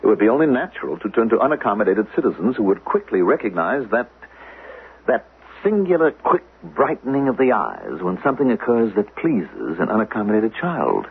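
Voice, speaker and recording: An actor playing a smooth, insinuating council agent in 1970s radio drama, deliberate and politely menacing. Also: male voice, on the low side, huge pitch swings, exaggerated voice. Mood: probing, insinuating. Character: smooth, insinuating male council agent posing as language teacher. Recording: muffled lo-fi 1970s radio broadcast.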